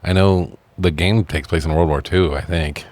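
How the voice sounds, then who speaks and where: A man's voice: low voice, steady tone, moderate pace, a little mellow, no echo. A man co-hosting a film podcast, casually trading geeky, sweary, self-deprecating banter. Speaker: podcast co-host. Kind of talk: casual movie-review chat.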